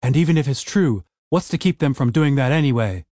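A man's voice: medium pitch, animated, a little fast, warm tone, no room sound, clean studio sound, close to an everyday voice. A man voicing a male elf who speaks in a sullen, argumentative way. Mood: resentful.

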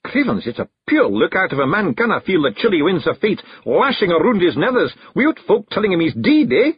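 A man voicing a tiny fairy clan chief with a Scottish accent, brooding, proud and gruff, in a storybook. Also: on the high side, big pitch swings, moderate pace, no room sound, highs cut off, pitched above the performer's own voice. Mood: indignant. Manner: gruff, dramatic, stubborn.

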